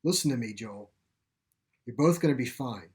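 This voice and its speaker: A man reading a story plays a calm, gentle caretaker of an animal sanctuary, explaining things with assurance. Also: medium pitch, normal speed, natural voice. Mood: reassuring. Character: calm, reassuring pipe-smoking male caretaker of animal sanctuary. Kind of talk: storybook character dialogue.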